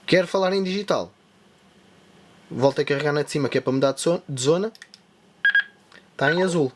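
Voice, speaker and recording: Tech tutorial host, a man with a European Portuguese accent, fairly high voice, step-by-step demo. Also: a little fast, slight background noise. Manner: step-by-step explaining while demonstrating. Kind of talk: hands-on device tutorial.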